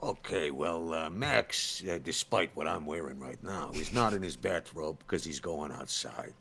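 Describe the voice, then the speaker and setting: A man with a very low, gravelly, husky voice chats casually as a tabletop player. His pitch moves around a lot, which keeps the talk animated. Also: a little slow, a little hiss or hum. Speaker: player in a tabletop roleplaying show. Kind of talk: casual chat.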